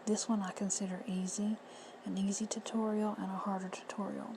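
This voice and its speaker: A woman with a hoarse, husky voice giving plain, friendly step-by-step instructions in a casual how-to. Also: medium pitch, level tone, a little fast. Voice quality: whispery, husky. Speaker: reseller tutorial host. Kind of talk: casual screen-recorded how-to. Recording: noisy home recording.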